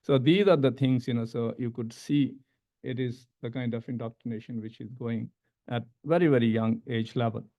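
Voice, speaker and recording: A middle-aged man with an Indian accent giving a panel presentation as an academic, halting and repeating words as he stresses each new point. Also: medium pitch, fairly even intonation, highs cut off. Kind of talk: panel presentation, semi-scripted lecture. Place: medium-sized indoor conference room. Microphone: gooseneck table microphone.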